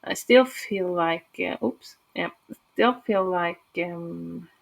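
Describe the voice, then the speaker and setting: A woman's voice: on the low side, slow pace, creaky, poor audio. A woman from Norway with a Norwegian accent, talking casually and hesitantly through a game build with many fillers. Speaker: gaming channel host.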